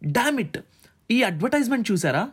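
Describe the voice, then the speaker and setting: A man's voice: very high-pitched, big pitch swings, normal speed, breathy edge, voice pushed up, heavily acted. A man voicing an agitated, bossy magazine manager in an audiobook, urgent and exclamatory. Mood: alarmed, angry. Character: agitated, demanding male magazine circulation manager.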